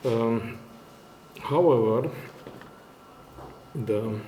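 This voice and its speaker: Halting Romanian-accented male lecturer. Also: medium pitch, warm tone. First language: not English, which is a fourth working language. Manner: very halting, hesitant, searching for words. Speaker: academic conference speaker, university lecturer. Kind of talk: formal conference presentation in non-native English. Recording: echoey room, faint background noise.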